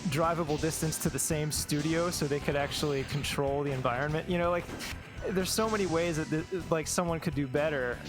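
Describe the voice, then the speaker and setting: A man's voice: on the high side, a little fast. A man in a casual discussion as a project team member, phrasing his thoughts hesitantly. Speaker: fan translation and dub team member. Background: game music and sound effects.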